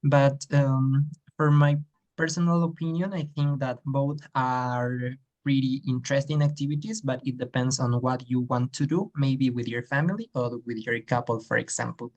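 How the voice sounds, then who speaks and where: A man's voice: medium pitch, fairly even intonation, a little mellow, lo-fi sound. A man from Ecuador, answering practice exam questions hesitantly with many fillers. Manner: hesitant, many ums and uhs, slowing to search for words. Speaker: exam candidate.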